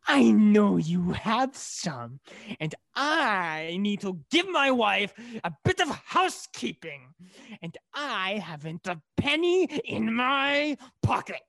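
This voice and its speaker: Brutal soldier villain in a radio play, slow sneering delivery, swings up and down, gravelly. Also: male voice, high-pitched, sing-song, a bit bright, dry recording, clean studio sound, heavily acted. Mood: coldly demanding. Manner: sneering, taunting, commanding. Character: cruel, menacing male soldier, brutal taunting villain.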